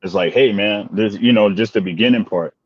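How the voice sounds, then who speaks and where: A man's voice: fairly low pitch, husky, no echo, lo-fi sound. An older man with grandkids, chatting casually on a podcast and laughing often between points. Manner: fast rambling with frequent laughs. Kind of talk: casual chat.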